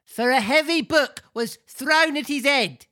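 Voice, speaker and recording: A woman voicing a chatty, high-energy old man in a storybook, with a campy, stop-and-start delivery. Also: measured pace, pushed and bright. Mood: gossipy.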